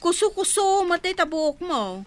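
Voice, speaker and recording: A woman's voice: very high voice, very animated, a little slow, exaggerated voice. A woman with a Filipino accent acting a blunt, teasing, bossy sister-in-law in a radio drama. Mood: mocking.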